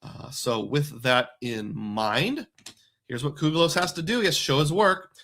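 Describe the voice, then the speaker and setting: Man, stream host, somewhat high voice, step-by-step math explainer, thinks out loud. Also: moderate pace, a little hiss or hum. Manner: explains step by step, working problems aloud. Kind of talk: livestream walking through points math.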